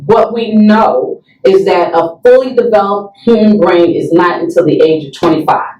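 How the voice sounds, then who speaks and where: A woman's voice: mid-range voice, normal speed, darkish tone, dull audio. A woman giving a conversational, instructive explanation as a guest expert, often checking in with short tag questions. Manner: checks in often with right and okay. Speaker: guest expert in substance use and overdose prevention education. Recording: roomy echo, distant from the mic.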